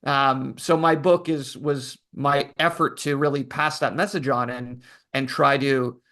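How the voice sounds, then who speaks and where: A man answering interview questions at a mid-range pitch. He talks in a relaxed, reflective way, pausing on fillers as he thinks. He comes across as an author talking through his story. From Canada. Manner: relaxed, thoughtful, many fillers. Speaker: podcast guest, author.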